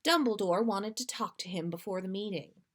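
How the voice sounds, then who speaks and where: A woman's voice: mid-range voice, normal speed, a bit bright, lightly acted. A woman voicing a harried motherly woman, snappish but kind and worried, in a story reading. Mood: brisk.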